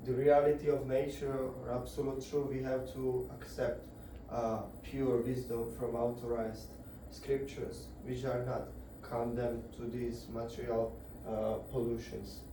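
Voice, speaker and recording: A man giving a devotional scripture lecture to a group indoors, halting and searching for words as he explains. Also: medium pitch, flat delivery, background noise. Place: indoor gathering room. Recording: very echoey room, voice far off.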